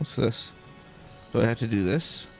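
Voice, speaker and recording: Creaky-voiced man chatting over game music. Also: mid-range voice, moderate pace, a little mellow. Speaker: video game streamer, let's player. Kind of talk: calm, slow-paced gameplay chat with read-aloud game text. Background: video game music.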